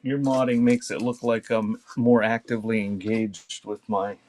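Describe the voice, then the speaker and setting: Low-voiced man selling casually on livestream. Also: little pitch movement, moderate pace, a little mellow. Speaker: live-stream auction seller. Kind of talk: casual live selling chat, reading out cassette titles. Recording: clearly noisy background with clicks.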